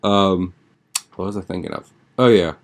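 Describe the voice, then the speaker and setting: A man's voice: low-pitched, normal speed. A young man chatting casually as a podcast host, with an informal, slangy, rambling way of talking. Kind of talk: casual podcast chat, episode recap.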